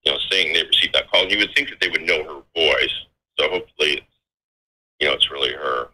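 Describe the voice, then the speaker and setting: A man giving an informal, halting account of events, often restarting his phrases. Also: a bass voice, steady tone, sharp tone, breathy edge, poor audio. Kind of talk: informal spoken account of scanner reports.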